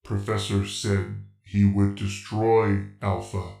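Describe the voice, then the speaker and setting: Slow, monotone killer machine man Alpha. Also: lowish voice, reverberant, soft background music. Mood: flat, obedient. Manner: halting robotic delivery in broken third person. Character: hulking killer machine man, childlike broken speech, coldly obedient. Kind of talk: old-time radio horror acting.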